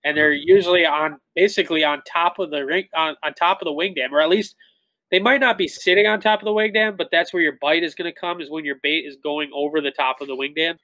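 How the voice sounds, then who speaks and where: A man in his thirties, a tournament bass angler, explaining things in a relaxed way full of "like" fillers over a video call. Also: quick pace, no echo. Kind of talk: casual interview talk. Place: indoor room with shelves of tackle. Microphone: webcam on a video call.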